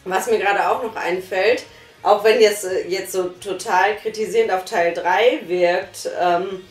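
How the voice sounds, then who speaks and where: A woman's voice: mid-range voice, steady tone, unhurried. A young woman reviewing an audio drama, talking frankly and emphatically from a sofa in a small living room, recorded by a distant camera. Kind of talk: casual review chat. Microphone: far camera. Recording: roomy room sound, faint music.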